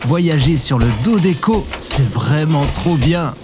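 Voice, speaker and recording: A man's voice: on the high side, animated, slow pace, over loud music. A man playing a friendly, wide-eyed and curious young adventurer in an audio drama, casual and full of questions. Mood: amazed, friendly. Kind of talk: audio fiction acting.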